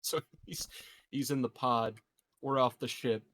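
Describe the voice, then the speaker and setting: A man's voice: medium pitch, steady tone, unhurried, fairly bright tone. A man playing a tabletop role-playing game, casually describing his character's actions and chatting. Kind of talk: casual in-game narration and chat.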